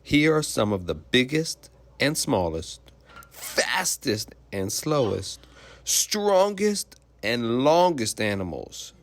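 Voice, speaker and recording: A man's voice: mid-range voice, very animated, slow. A man reading a children's picture book aloud like a teacher, explaining simply and putting questions to young listeners.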